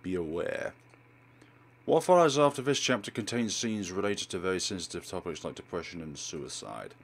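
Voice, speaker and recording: A man's voice: sits low, animated, normal speed, slight background noise. A man hosting a let's play, reading game text aloud and commentating with wry, theatrical asides. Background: game music.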